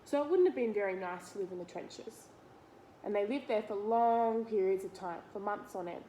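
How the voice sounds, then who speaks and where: A woman's voice: medium pitch, normal speed, mellow, a little hiss or hum, poor audio. An Australian woman reading a picture book aloud to young children, expressively, talking to them directly with simple explanations and questions.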